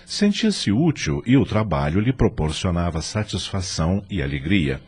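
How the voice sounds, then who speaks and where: A man's voice: low voice, very animated, moderate pace, a little mellow, breathy edge. A man with a Brazilian Portuguese accent giving solemn, scripted storytelling narration for an audio drama.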